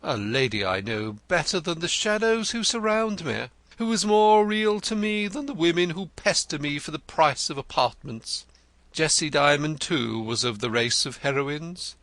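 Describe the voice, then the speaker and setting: A man's voice: on the high side, animated, a little slow, a bit bright, no echo, voice pushed up. A man voicing a vain, theatrical male poet in an audiobook, florid and gushing, stammering when pressed. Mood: effusive. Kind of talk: audiobook character reading.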